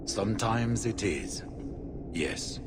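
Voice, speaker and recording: A man's voice: lowish voice, lively intonation, takes it slowly. A man playing a grave, formal veteran warrior of a solemn order in a fantasy game, with noisy ambience around him. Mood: stern. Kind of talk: cinematic fantasy game dialogue.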